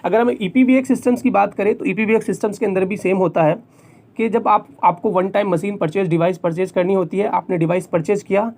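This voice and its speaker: A man's voice: high-pitched, fairly even intonation, a little fast, gravelly, darkish tone. A man with an Indian accent presenting a tech tutorial, explaining things step by step and often repeating points for clarity. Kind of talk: explanatory tutorial, semi-scripted.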